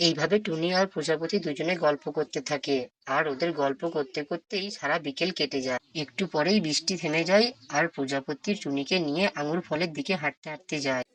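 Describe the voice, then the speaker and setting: Casting: female storyteller, deep-pitched, scripted narration for a kids' tale. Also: fairly quick, low-quality recording.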